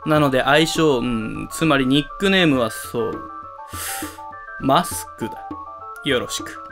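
A man acting an eccentric, theatrical high school club president in an amateur voice drama, with pompous, dramatic pauses. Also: vocal fry. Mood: self-important. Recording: music under the voice.

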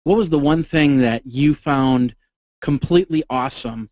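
A man's voice: fairly high pitch, moderate pace, no echo, highs cut off. A middle-aged man hosting a casual interview, speaking close to a studio mic. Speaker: interviewer, host. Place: indoor home studio. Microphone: condenser mic on boom arm, close.

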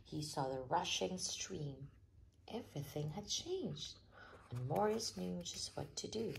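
A woman reading a children's story aloud with expressive, deliberate narration, over faint handling noise. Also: lowish voice, vocal fry. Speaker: storybook reader.

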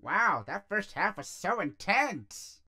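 A man's voice: high-pitched, very animated, slow, sharp tone, exaggerated voice. A man voicing an easygoing plague god who lives with housemates, acted for a comic dub. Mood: casual. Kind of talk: comic dub character acting.

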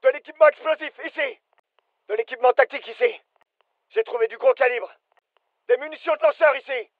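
Casting: alert game soldier, very high-pitched, clipped urgent commands, dry muffled recording. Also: male voice, fairly quick, no echo. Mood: businesslike. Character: British fireteam soldier, alert, businesslike adult man. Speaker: voice actor, French dub. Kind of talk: military shooter game callouts.

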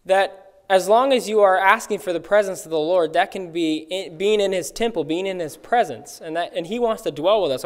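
Earnest young man at a church pulpit. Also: high voice. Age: young adult. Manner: earnest, halting with restarts. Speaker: worship leader. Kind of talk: prayer and short devotional. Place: church sanctuary, at a wooden pulpit. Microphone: pulpit mic. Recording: long reverb of a church hall.

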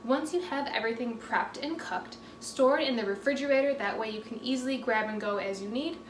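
A woman's voice: on the high side, distant mic, slight background noise. Upbeat young woman teaching in an echoey kitchen. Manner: upbeat, clear and instructive, gesturing with her hands. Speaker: fitness and nutrition presenter. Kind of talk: scripted how-to presentation. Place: home kitchen, indoors. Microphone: camera at a distance. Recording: echoey room, voice slightly off-mic.

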